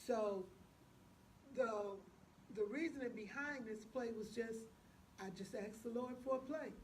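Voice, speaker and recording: A woman's voice: fairly high pitch, a little slow, a little hiss or hum, rough recording. A woman guest telling her story casually in an interview, emphatic and testifying, quoting dialogue as she goes. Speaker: playwright guest.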